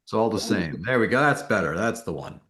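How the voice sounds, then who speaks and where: A man on a town board, speaking at a public meeting in a halting, helpful way as he guides someone through a problem. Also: on the low side, sing-song, a bit bright. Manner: halting, helpful, guiding through tech trouble.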